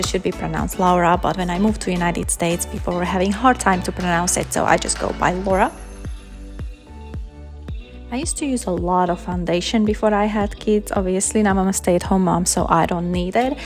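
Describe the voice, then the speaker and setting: A woman in her thirties from Slovakia, chatting casually while doing her makeup in a vlog. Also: mid-range voice. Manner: relaxed, chatty, talks while applying makeup. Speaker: vlogger, stay-at-home mom. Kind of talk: casual makeup tutorial chat.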